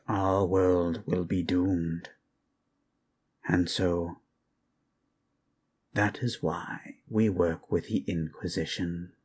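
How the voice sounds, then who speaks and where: A man voicing an ancient, weary undead lich who tells history in a hushed, solemn, gravelly voice for an ASMR roleplay. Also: sits low, a little hiss or hum, exaggerated voice. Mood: solemn, measured. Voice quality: gravelly, creaky. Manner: measured, hushed, solemn, with long pauses. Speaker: storyteller. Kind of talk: ASMR roleplay, slow improvised fantasy history lesson.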